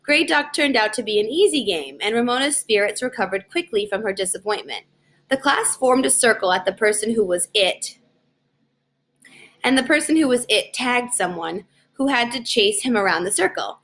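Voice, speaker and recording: A woman with a fairly high voice reads a children's story aloud. Her narration is expressive, in the style of a storybook reading. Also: normal speed. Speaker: storybook reader.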